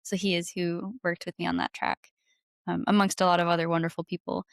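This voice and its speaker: A woman's voice: lowish voice, little pitch movement, brisk, creaky, no echo, studio quality. A young adult woman chatting casually as an interview guest, candid and gushing in an informal way. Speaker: guest, singer-songwriter. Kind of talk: casual chat, storytelling.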